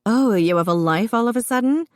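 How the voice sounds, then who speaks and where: A woman's voice: fairly high pitch, animated, a little fast, a little mellow, no echo, clean studio sound, voice pushed up, close to an everyday voice. A woman doing a bubbly, teasing pregnant sister.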